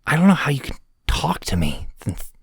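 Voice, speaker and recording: A man's voice: fairly low pitch, huge pitch swings, moderate pace, slight background noise, pitched above the performer's own voice. A man doing a foul-mouthed, sarcastic troll guy. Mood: sorrowful. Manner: sarcastic, swearing, emotional. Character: foul-mouthed, grieving young male troll. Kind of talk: audio drama acting.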